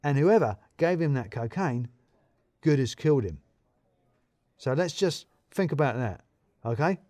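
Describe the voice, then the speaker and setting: A man's voice: mid-range voice, expressive, measured pace, mellow, lightly acted. A pompous male office manager, acted out by a male story reader barks in clipped, emphatic corporate phrases. He is self-important and bossy. The sound is dry, with no room echo. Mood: grave, insinuating.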